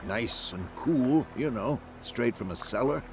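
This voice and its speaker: An actor playing an older monster hunter and mentor in a video game, wry and unhurried. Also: male voice, mid-range voice, lively intonation. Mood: wry, calm. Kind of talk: video game cinematic acting. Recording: clear music behind the voice.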